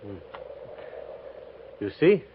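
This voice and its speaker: Slow, halting young brave. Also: male voice. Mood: proud, solemn. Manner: slow halting broken speech, clipped phrases. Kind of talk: 1950s radio western acting. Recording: muffled, noisy 1950s radio recording.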